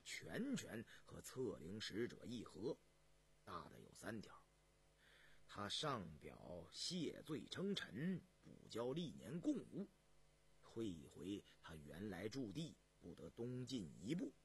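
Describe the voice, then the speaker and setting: A man voicing a weary ageing Chinese emperor in a storybook reading, low, breathy and hushed, speaking slowly with commanding deliberation. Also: huge pitch swings, heavily acted. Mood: measured, approving. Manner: slow, deliberate, imperial.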